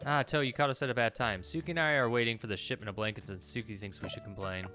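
Man reading calm, placating woman innkeeper, bright voice. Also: mid-range voice, fast talker, soft background music, natural voice. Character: calm, reluctant woman innkeeper soothing a worried colleague. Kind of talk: read-aloud video game dialogue.

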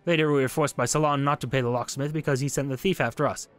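A nervous male witness, read out by a man gives testimony in a historical game. He is evasive and out for himself, in an everyday mid-pitched voice over faint music. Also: steady tone, fast talker, natural voice. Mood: uneasy, confessing. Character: nervous, self-serving male witness at a revolutionary tribunal.

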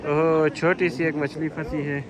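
A man's voice: fairly high pitch, flat delivery, unhurried, crowd noise. A man, vlogging outdoors by a river in an enthusiastic, chatty way, full of fillers. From Pakistan. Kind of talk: outdoor vlog narration.

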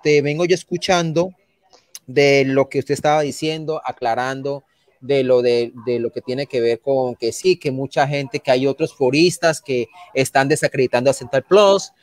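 A man from Santander, Colombia, with a Santander Colombian accent, talking as a panelist on a live online show, animated and full of restarts and repeated words. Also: medium pitch, moderate pace, no room sound, studio quality. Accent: Santander Colombian Spanish, from Barrancabermeja. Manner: animated, rambling with many restarts, indignant. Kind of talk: casual live online talk.

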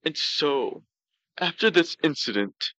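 A man voicing a pouty, self-justifying glutton who tells comic stories in a halting way. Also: a little high, expressive, bright, forceful tone, no room sound, lo-fi sound, exaggerated voice. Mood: smug, matter-of-fact. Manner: pouty, slow, halting delivery. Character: pouty, self-justifying, gluttonous male talk show storyteller. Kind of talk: comedic in-character storytelling.

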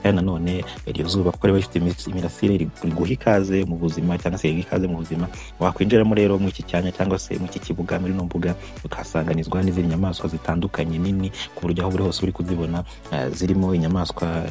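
A man's voice: deep voice, level tone, racing, warm tone, faint music behind. A Rwandan man narrating a tour video, talking on with many restarts and fillers. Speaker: video presenter.

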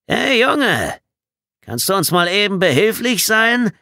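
A mocking bully of a prisoner of war, acted out by a male audiobook reader, pitched well up and pushed loud. He taunts with swooping intonation and slips into feigned innocence. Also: big pitch swings, slow, vocal fry, dry recording, studio quality, heavily acted. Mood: taunting, shouting. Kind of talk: audiobook character acting.

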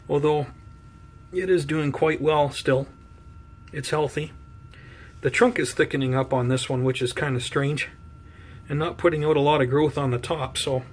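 A man giving an unhurried, conversational show-and-tell as a hobby vlogger, talking casually indoors. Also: a little high. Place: indoors at home by plant shelves. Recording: clear background noise, room ambience.

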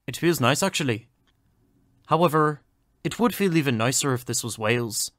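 A man playing a courteous, earnest 1920s gentleman scientist in an audio drama, speaking politely, formally and precisely. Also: medium pitch, lively intonation, moderate pace, no room sound, studio quality, close to an everyday voice. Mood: polite, assured.

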